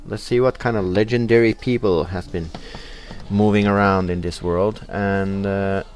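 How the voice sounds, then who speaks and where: Low-voiced Norwegian man narrating a game. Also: steady tone, a little slow, darkish tone. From Norway. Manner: hesitant, many uh fillers, reading in-game text aloud. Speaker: let's play host. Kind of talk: casual game commentary.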